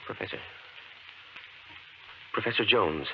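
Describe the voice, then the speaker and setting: A steady young husband, muffled recording. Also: male voice, fairly low pitch, slow, creaky, heavily acted. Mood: grave. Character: steady, practical young husband, tender and firm. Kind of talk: 1950s radio drama acting. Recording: dull, noisy 1950s radio recording.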